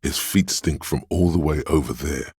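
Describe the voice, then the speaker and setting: A man voicing the wry inner voice of a detective's sense of smell in a game, with a slow, sardonic delivery. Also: a little mellow, no echo, clean studio sound, exaggerated voice. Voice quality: deep gravelly husky voice. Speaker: voice actor for game narration. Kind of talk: scripted game voice lines. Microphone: close studio mic.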